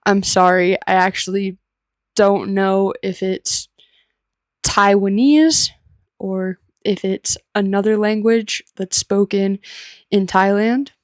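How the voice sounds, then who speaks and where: An American woman teaching English through simple personal stories, speaking in a deliberate, unscripted way with clear phrasing. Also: medium pitch, level tone, no echo, clean studio sound. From United States. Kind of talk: slow, simple personal storytelling for language learners.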